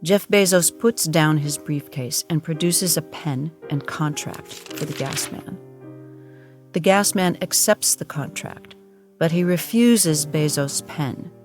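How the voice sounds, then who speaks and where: Low-voiced woman narrating solemnly. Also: slow pace, a little mellow, soft background music. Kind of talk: scripted audio drama narration.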